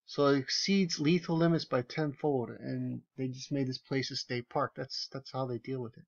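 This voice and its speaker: A man's voice: mid-range voice, steady tone, moderate pace, vocal fry, dull audio. A man giving casual, sarcastic commentary over screenshots, with incredulous, emphatic asides. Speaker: video commentator.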